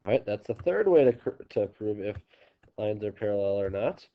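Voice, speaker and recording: A man's voice: on the low side, animated, moderate pace. A man with a stuffy, congested nose, teaching a geometry lesson on video and explaining step by step with frequent ums and restarts. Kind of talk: recorded classroom video lesson. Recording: slightly dull lo-fi recording.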